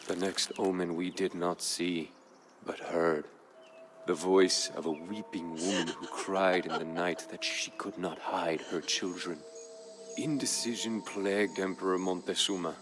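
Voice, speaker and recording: Proud Aztec jaguar warrior, low-pitched, solemn and measured game campaign narration, music underneath. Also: male voice, fairly even intonation. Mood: grave, brooding. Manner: grave, measured, dramatic. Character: proud grave Aztec jaguar warrior recounting his people's fall. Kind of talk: solemn scripted storytelling narration for a strategy game.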